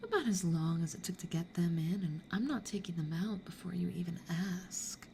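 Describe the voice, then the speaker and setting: A woman's voice: on the low side, a little mellow, slight background noise. A woman playing a seductive, possessive succubus demon in an ASMR roleplay, teasing and often half-whispering. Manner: slow, teasing, breathy half-whispered delivery.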